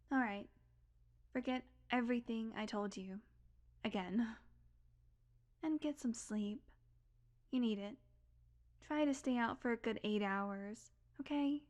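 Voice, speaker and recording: Sardonic female vampire , played by an actress, higher-pitched, intimate and wry, brash but secretly tender, for audio roleplay. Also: slow, pauses often, a little mellow. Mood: soft, tender. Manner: casual, confiding, wry, swearing, drifting into whispers.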